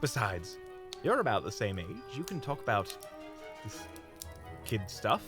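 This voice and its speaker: A man's voice: fairly low pitch, big pitch swings, unhurried, music in the background. A man acting a charming, unflappable young hunter in a fantasy audio drama, courteous, playful and musing. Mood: teasing.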